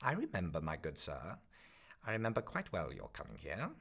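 A man's voice: sits low, huge pitch swings, moderate pace, gravelly, fairly bright tone, full character voice. A man voicing a withered, distrustful old woman pawnbroker who haggles curtly and talks down to her visitor. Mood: curt, haggling. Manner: curt, haggling, condescending.

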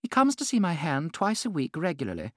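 A man voicing a vivacious, theatrical middle-aged society hostess in a high, bright voice, in an audiobook reading. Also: huge pitch swings, brisk, no echo, clean studio sound, exaggerated voice. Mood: gushing.

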